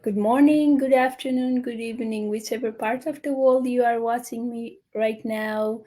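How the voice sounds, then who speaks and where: A woman's voice: on the high side, fairly even intonation, normal speed. Nepali-born woman hosting a live chat. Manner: hesitant, many fillers, warm welcomes. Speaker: host. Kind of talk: live online business interview.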